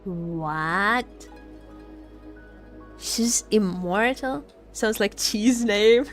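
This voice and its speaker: Female game streamer, playful, highly animated pitch, slowish pace, repeats phrases, game music behind. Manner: playful, repeats phrases, teasing role-play as a vampire. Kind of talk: casual livestream chat over gameplay. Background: video game music.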